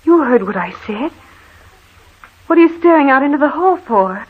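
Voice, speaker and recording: An actress playing a frightened, anxious wife in an old radio horror drama, fretful and pleading. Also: female voice, sits high, lively intonation, normal speed. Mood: alarmed pleading. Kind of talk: vintage radio horror acting. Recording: tinny, hissy old-time radio recording.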